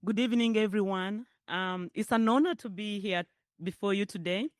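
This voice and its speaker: Woman, Ugandan accent, middle-aged, emotional chatty speech, smooth voice, mid pitch. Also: normal speed, no echo, studio quality. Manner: warm, emotional, chatty asides with frequent laughter. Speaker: guest speaker, parent advocate. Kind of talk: personal speech at a public forum. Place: hotel ballroom event.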